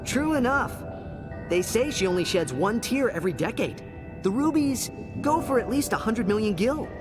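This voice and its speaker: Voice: medium pitch, big pitch swings, normal speed, natural voice. An actor playing a cheerful, laid-back young male athlete who is helpful and carefree, in a video game cutscene. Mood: matter-of-fact. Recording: loud music behind the voice.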